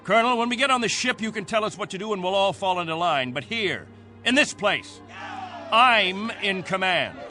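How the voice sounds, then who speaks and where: An actor playing a stern, proud, controlling captain of stranded settlers in a radio drama, with a commanding, insistent manner. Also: male voice, sits high, expressive, moderate pace, bright, forceful tone, exaggerated voice. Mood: commanding anger. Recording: radio drama with music underneath.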